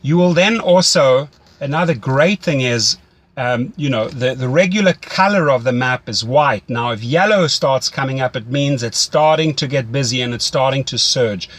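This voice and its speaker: A man's voice: mid-range voice, moderate pace, a bit bright. Man coaching in a conversational way. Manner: instructive, repeats phrases for emphasis. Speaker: rideshare driving coach. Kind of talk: conversational phone mentoring.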